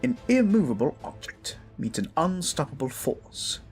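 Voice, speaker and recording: A bold champion of righteousness acted by a man. The delivery is grandiose and animated, a declamatory fantasy audio-drama hero with faint music underneath. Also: a little high, normal speed, gravelly, husky, full character voice. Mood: righteous. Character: righteous, bold adventurer proclaiming against villains.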